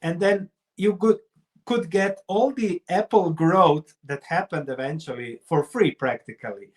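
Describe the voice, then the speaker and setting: A man's voice: fairly high pitch, a little slow. A middle-aged man with a Croatian accent explains things step by step as an investor being interviewed. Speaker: investor and researcher, interview guest.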